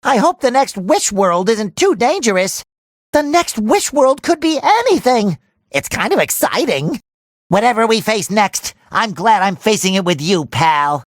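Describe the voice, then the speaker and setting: A man voicing a cheerful, naive, excitable young male cartoon sea sponge in a video game, with a nasal, squeaky, childlike and eager delivery. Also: unhurried, dry recording, clean studio sound, exaggerated voice. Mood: chatty, optimistic.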